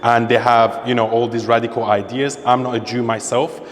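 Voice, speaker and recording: A man's voice: mid-range voice, level tone, moderate pace, long reverb tail. A man from Iran, interviewed on a podcast, who speaks emphatically and repeats his points for stress. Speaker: interviewee, social media creator. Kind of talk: opinionated conversation.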